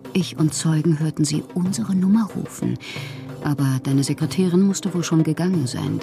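Faint music sits under an impassioned bohemian woman poet in a radio drama. Her voice is on the low side for a woman. She delivers her letters theatrically, proud and whimsical, with a capricious streak. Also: warm tone. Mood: jealous, accusing. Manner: theatrical, capricious, swinging from wounded to playful.

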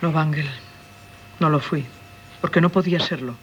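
An actress playing an anguished, unhappy wife in a 1970s radio drama, speaking earnest, solemn Castilian Spanish. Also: female voice, low voice, moderate pace, natural voice. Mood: earnest, bitter. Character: anguished, unhappy wife pleading for her freedom. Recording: dull 1970s radio recording, clear hiss.

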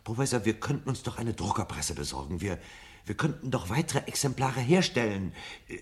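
An actor playing a restless, idealistic rebel in a German radio drama, speaking in an earnest, pleading way. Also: male voice, on the low side, very animated, a little fast. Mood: determined. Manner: urgent, pleading, insistent.

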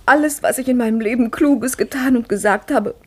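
Fatime, a clever, playful wife. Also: female voice, on the high side, a little fast, husky. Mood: earnest. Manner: playful, earnest and intimate. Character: Fatime, a clever, devout, businesslike young wife. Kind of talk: 1950s radio drama acting.

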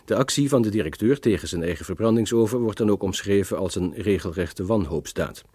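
A man's voice: on the low side, a little fast, darkish tone, husky, no room sound. A man playing a sober, formal radio newsreader in a drama, reading a scripted bulletin.

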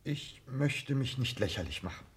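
An actor playing a deferential but quietly firm secretary in a 1960s radio drama, formal, clipped and restrained. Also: male voice, medium pitch, lively intonation, moderate pace, close to an everyday voice. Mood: restrained protest. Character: deferential, stiffly correct male secretary with quiet backbone.

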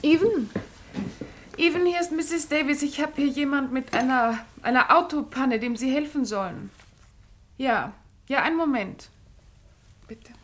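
Radio play: gracious, eager lady of the house, high-pitched, effusive, conversational. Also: measured pace, pauses often, fairly bright tone, a little hiss or hum. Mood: polite, obliging. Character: gracious, chatty married country lady, eager and obliging. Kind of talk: German radio play acting.

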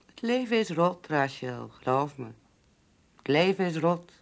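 An actor playing a bitter, world-weary figure with a creaky voice in a radio play. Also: male voice, fairly high pitch, big pitch swings, a little slow, heavily acted. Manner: bitter, emphatic. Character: bitter, world-weary person, embittered by life.

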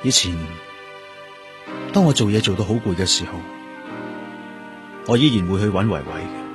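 An actor with a Hong Kong Cantonese accent playing a lonely, grieving, gentle police detective in a radio drama. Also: male voice, on the low side, animated, normal speed, lightly acted. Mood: wistful, subdued. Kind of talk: radio drama, narrated inner monologue and dialogue. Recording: music under the voice. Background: background music.

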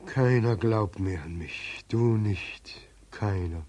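Casting: frail, sorrowful old-man God, low mellow husky voice, plaintive, for vintage radio drama. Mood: mournful. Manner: slow, plaintive, lamenting. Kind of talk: 1940s German radio drama acting.

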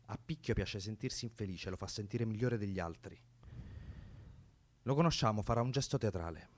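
Radio drama: actor for sardonic male commentator, lowish pitch, detached and judgemental delivery. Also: a little fast, lightly acted. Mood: detached, scornful. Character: cool, cutting male commentator judging an absent friend. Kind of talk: Italian radio drama acting.

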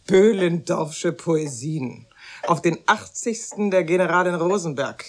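A slow, mocking society lady. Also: fairly low pitch, a little hiss or hum. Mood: mocking, sceptical. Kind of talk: German radio drama acting.

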